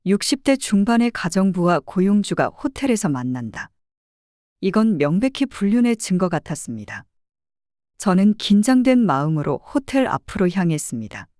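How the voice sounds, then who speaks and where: A woman narrating an audio drama as a private detective, in an expressive storytelling manner. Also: medium pitch, moderate pace, no echo, clean studio sound.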